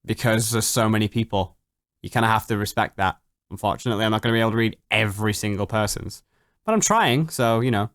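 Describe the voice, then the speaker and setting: Young male streamer, lowish voice, loose and swearing, dry close mic. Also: fast talker, no echo. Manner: chatty, swears freely, jumps up in pitch when excited. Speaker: YouTuber answering viewer questions. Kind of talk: casual late-night livestream chat. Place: home at night.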